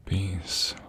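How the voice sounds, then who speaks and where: A man playing a doting, tender husband in an intimate ASMR roleplay, speaking softly and often slipping into breathy whispers. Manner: soft, breathy, lingering delivery dropping into whispers. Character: doting, tender husband comforting his sweetheart.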